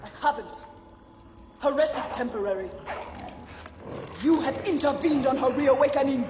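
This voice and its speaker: A woman's voice: sits high, normal speed, noisy background, close to an everyday voice. An actress playing a fanatical, grieving mother turned murderous villain in a video game, theatrical and intense. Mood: anguished, defiant. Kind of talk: dramatic video game acting. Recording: cavernous echo with long reverb, loud music.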